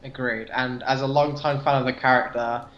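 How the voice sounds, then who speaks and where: A man's voice: mid-range voice, level tone, moderate pace. A man chatting casually as a podcast co-host, rambling earnestly in a fan discussion. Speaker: comic book review podcast co-host. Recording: roomy, slightly dull voice recording.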